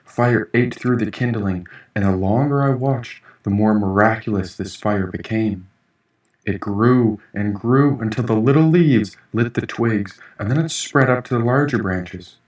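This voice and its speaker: A man reading first-person fiction as an audiobook narrator, in an expressive, dramatic storytelling manner. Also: on the low side, a little slow. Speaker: author reading own audiobook. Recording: echoey room, distant mic.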